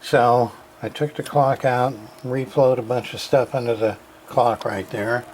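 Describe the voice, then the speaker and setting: A man giving a casual, halting walkthrough of a radio repair, full of fillers and restarts. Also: mid-range voice, little pitch movement, a little mellow, a little hiss or hum.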